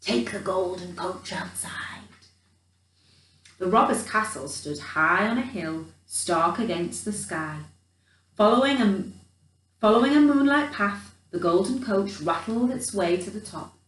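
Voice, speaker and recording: A roomy recording, set back from the mic, carries a woman's storytelling voice. She reads for children with theatrical emphasis and a slow, swooping delivery, dropping in chatty asides. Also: mid-range voice, animated, fairly bright tone. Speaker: children's storyteller in character as a Christmas elf. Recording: roomy echo, distant from the mic.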